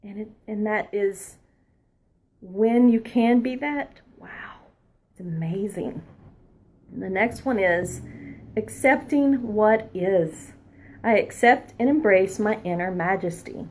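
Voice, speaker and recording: A woman past her twenties giving a spiritual oracle card reading, earnest and encouraging, sometimes dropping into a soft whisper. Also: medium pitch, slow, slight background noise. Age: adult past her twenties.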